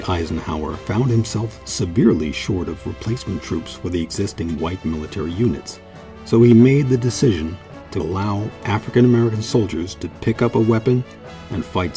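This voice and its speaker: A man with a very low, dark voice narrates a documentary from a script. His tone is mellow and relaxed, with faint music underneath.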